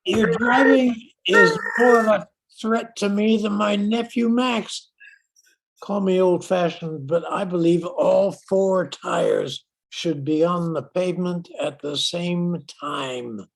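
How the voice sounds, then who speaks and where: An older man acts out a fussy, old-fashioned gentleman in a vintage radio play. His voice is slow, creaky and husky, with a stern, proper manner. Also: high voice, exaggerated voice, low-quality recording. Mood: alarmed, fussy. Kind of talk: amateur old-time radio drama acting.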